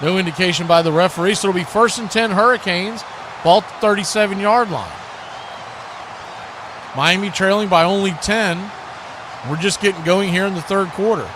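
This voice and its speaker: A man's voice: high-pitched, moderate pace, pauses often. A man doing live play-by-play commentary of an American football game as the announcer. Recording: loud background noise.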